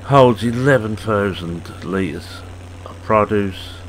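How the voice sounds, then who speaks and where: Older man in his sixties, very deep voice, croaky and hoarse, unhurried rambling gameplay chat, faint engine hum behind. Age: 60s. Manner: unhurried, rambling, halting with fillers. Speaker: farming game video maker. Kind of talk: casual gameplay commentary.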